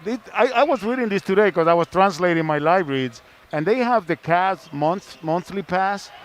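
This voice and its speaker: A high-pitched man, a native Spanish speaker, bantering on a sports broadcast. He is chatty and wry, a casual storyteller. Faint arena noise sits behind him. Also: animated, normal speed.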